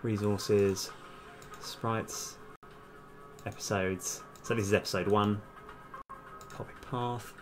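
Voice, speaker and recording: A man with a fairly low voice talks himself through coding on a livestream, casual and halting, often muttering as he goes. Music plays clearly behind him, along with keyboard typing. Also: steady tone. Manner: halting, muttering to self while working. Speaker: game developer livestream host. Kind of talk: casual live coding commentary, thinking aloud. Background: music, computer keyboard typing.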